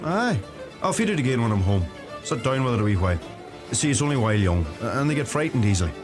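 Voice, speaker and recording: An actor playing a gentle, thoughtful young farmer with a Donegal Irish accent in a radio play. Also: male voice, medium pitch, very animated, fast talker, lightly acted. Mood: tender. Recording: music under the voice.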